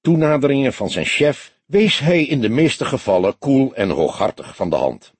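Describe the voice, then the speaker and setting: A man giving an expressive scripted narration of a detective novel. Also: mid-range voice, normal speed, slightly rough, dry recording. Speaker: audiobook narrator.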